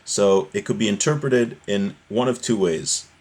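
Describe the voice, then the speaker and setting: A man's voice: fairly low pitch, unhurried, darkish tone. A man giving an informal religious lesson, reading from a source text and explaining it conversationally with everyday examples. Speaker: religious teacher giving a class.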